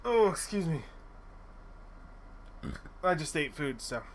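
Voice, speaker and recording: A man's voice: fairly high pitch, sing-song, slow pace, slight background noise. A man streaming a game, recapping the story in a rambling, joking way with lots of fillers and casual swearing. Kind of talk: casual let's-play commentary.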